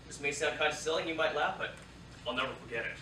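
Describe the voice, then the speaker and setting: Casting: male actor as shy, sincere 1940s island-born GI, fairly high, sharp voice, echoey stage. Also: quick pace. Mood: earnest. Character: young Hawaiian-born Japanese-American soldier, shy, earnest. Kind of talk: staged reading of wartime letters. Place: theatre stage, live staged reading. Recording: echoey theatre, distant mic, faint noise.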